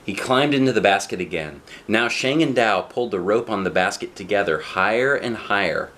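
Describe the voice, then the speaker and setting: A man reading a storybook aloud to a child, with dramatic drawn-out phrasing and casual asides. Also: lowish voice, lively intonation, a bit bright. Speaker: dad reading a picture book to a child.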